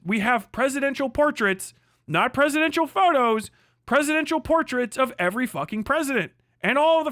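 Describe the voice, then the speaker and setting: A man's voice: very high voice, sharp tone, no echo, clean studio sound. An American man in a casual livestream rant, swearing often and arguing emphatically. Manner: profane, emphatic, argumentative. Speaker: livestream host.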